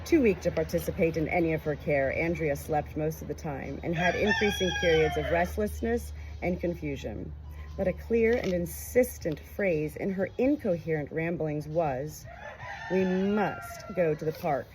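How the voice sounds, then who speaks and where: A woman reading a book and reflecting on it in an expressive, earnest, emotional way. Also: sits low, normal speed. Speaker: audiobook reader. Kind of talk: expressive book narration with personal commentary. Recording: clear background noise, rooster crowing.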